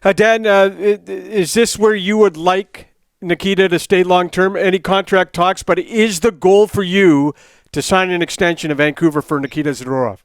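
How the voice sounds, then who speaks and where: High-voiced, smooth radio co-host man. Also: steady tone, moderate pace, dry recording, studio quality. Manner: eager, pressing questions. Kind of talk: sports talk radio.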